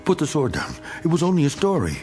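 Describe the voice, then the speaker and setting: An actor playing a bitter, taunting American war veteran in a radio drama, with a gruff, sarcastic manner and an American accent. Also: male voice, on the high side, huge pitch swings, fast, darkish tone, soft background music. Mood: goading, panicked.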